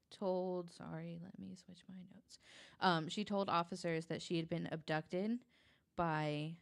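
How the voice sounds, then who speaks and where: Low, creaky-voiced woman, informal true-crime retelling. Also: no echo, clean studio sound. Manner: halting, hedging, informal, consults notes. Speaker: podcast guest.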